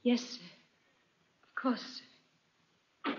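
An actress playing a loyal, conspiring maid in a 1940s radio drama, deferential and hushed. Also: female voice, drawn-out words. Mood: deferential, conspiratorial. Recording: dull, muffled 1940s radio recording.

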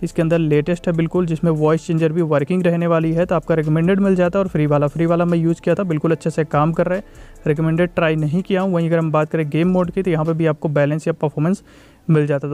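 A man's voice: on the high side, darkish tone, smooth. A man giving a casual, repetitive tech review voice-over, mixing in English tech terms.

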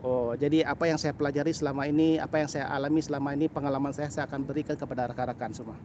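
Middle-aged male vlogger, Sabahan Malay accent, high-pitched voice, halting casual delivery, faint outdoor noise behind. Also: fairly even intonation, dull audio. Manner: halting, repeats phrases, frequent "ah" and "lah" tags. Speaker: birding vlogger. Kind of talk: casual vlog chat to camera. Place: outdoors on a grassy path by a canal.

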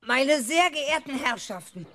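A man's voice: very high voice, animated, measured pace, very noisy. An actor playing a boastful, eager little boy who announces grandly and bossily in a 1970s children's radio play. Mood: showy, boastful.

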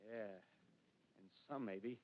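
A half-mad artilleryman who has survived the invasion and dreams of power, his talk rushed and rambling. He keeps pressing his listener with "see?" in 1930s radio-drama style. The sound is the dull, muffled tone of an old radio broadcast. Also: on the low side, no room sound. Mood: scheming. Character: wild-eyed male artilleryman survivor, scheming, power-hungry.

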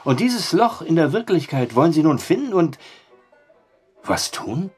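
An actor playing a sceptical barkeeper with a husky voice and a dry, teasing manner in a German audio drama. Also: male voice, a little high, big pitch swings, a little slow, darkish tone, soft background music, full character voice.

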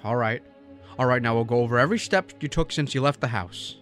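A man's voice: mid-range voice, quick pace, fairly bright tone, soft background music, close to an everyday voice. A man acting in a radio drama as an earnest, kindly but easily frantic small-town businessman, folksy and emphatic, with an American accent. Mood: hurried, worried. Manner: folksy, emphatic, stammering when pressed.

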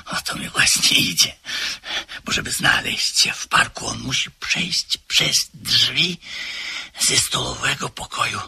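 An actor playing a haunted, frantic widower in a radio play, swinging from hushed whispers to shouts. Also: male voice, mid-range voice, slow pace, vocal fry, breathy edge, exaggerated voice. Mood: scheming. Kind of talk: 1970s Polish radio play acting.

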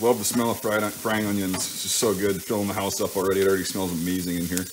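A man with a fairly low voice talks casually through his cooking at the stove. He thinks aloud and corrects himself in an informal way, with frying and stirring noise behind him in a home kitchen. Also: little pitch movement, fast talker. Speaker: home cook hosting a cooking show. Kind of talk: casual cooking commentary while working. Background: sizzling pan and stirring sounds.

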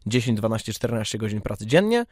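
A man's voice: fairly low pitch, sing-song, quick pace, darkish tone, no room sound. Informal male podcast host, dry studio-close sound. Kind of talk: casual conversational interview.

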